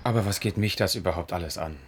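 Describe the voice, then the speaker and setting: A man's voice: sits low, quick pace, slight background noise, natural voice. An actor playing a self-assured, argumentative male truck driver with an ironic, declaiming turn in radio drama dialogue. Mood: combative.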